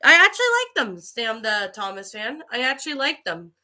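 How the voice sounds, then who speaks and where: A woman with a cold, chatting casually as a livestream host and answering viewers in a halting way. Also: fairly high pitch, animated, sharp tone. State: getting over a cold with allergies. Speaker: livestream host and creepypasta narrator.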